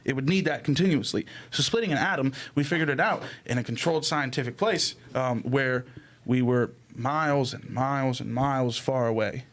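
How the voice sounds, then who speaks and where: A man's voice: medium pitch, moderate pace. A young man chatting casually on a podcast mic, theorizing in an emphatic, slangy, profane way. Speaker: podcast co-host. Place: small indoor room. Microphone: podcast microphone on arm, headphones.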